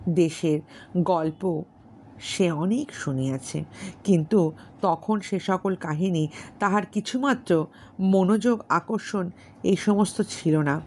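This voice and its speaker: A woman's voice: fairly low pitch, takes it slowly, long pauses, darkish tone, a little hiss or hum. A woman reading a literary story aloud as an audiobook narrator, in scripted narration.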